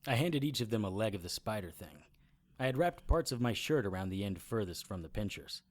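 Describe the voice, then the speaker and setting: A man acting a brisk, commanding occult adventurer in an audio drama, instructive and matter-of-fact. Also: fairly low pitch, animated, lightly acted. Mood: sober narrating. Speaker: lead actor and narrator. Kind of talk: audio drama acting and first-person narration.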